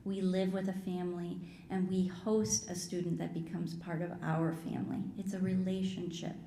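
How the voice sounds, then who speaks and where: A woman who teaches high school, giving a measured, explanatory presentation to a public meeting. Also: little pitch movement, mellow. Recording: roomy meeting hall, faint rumbling noise.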